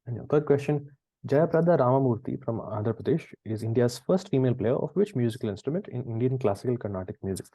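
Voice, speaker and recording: A man's voice: medium pitch, animated, fast, dark tone, dry recording. A man hosting a quiz, reading questions and chatting conversationally with the players. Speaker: quiz host.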